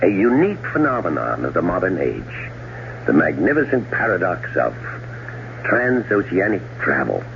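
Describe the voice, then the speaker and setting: An actor playing a cold-blooded, middle-aged scheming brother in a 1970s radio drama, suave, sardonic and deliberate. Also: male voice, low voice, huge pitch swings, creaky, full character voice. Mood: coolly menacing. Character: scheming, cold-blooded older brother plotting his wife's murder. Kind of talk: 1970s radio drama acting and first-person narration. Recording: dull, noisy old radio broadcast recording.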